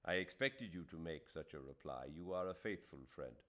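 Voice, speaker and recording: A proud, wounded Indian doctor from a classic novel, as acted out by a male narrator. He speaks in a low voice with formal, careful phrasing. His temper is melancholy and dignified. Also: animated, moderate pace. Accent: British. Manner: quiet, formal, literary dialogue.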